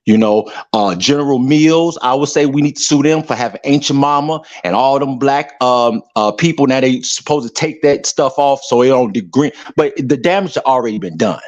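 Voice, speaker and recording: A man who grew up on Chicago's South Side speaks through a slightly muffled call connection. His voice sits at an ordinary male pitch. He talks in a halting way, piling up fillers and restarting his sentences. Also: a little mellow. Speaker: caller. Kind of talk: casual call-in opinion.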